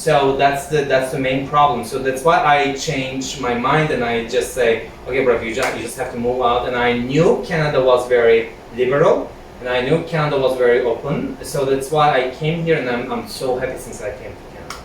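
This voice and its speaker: A man from Turkey, a guest in a casual interview chat, talking in a relaxed, thoughtful way with pauses. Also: mid-range voice, a little hiss or hum. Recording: echoey room, voice from far off.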